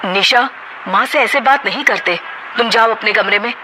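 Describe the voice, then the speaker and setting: A woman voicing a gentle, soft-spoken young husband who tries to calm others. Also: on the high side, moderate pace, a little hiss or hum, rough recording.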